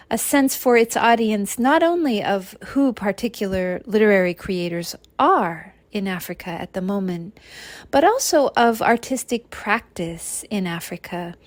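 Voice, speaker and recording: Female academic contributor, measured prepared explanation, very dry close sound. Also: mid-range voice, no room sound. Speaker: contributor answering a question on African studies.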